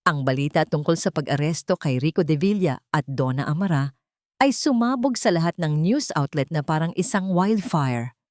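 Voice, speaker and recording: A woman's voice: low-pitched, expressive, moderate pace, a little mellow, no room sound, studio quality. A woman reading a dramatic first-person story as an audiobook narrator.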